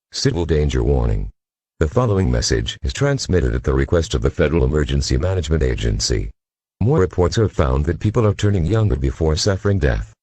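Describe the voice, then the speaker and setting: A man's voice: extremely low pitch, dark tone, husky, dry recording. A man reading a scripted emergency warning as an alert announcer.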